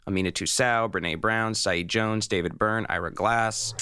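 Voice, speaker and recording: A man's voice: on the low side, fairly even intonation, measured pace, sharp tone, a little hiss or hum. A man in his early thirties hosting a podcast, talking conversationally as he introduces the show and interviews. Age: early 30s.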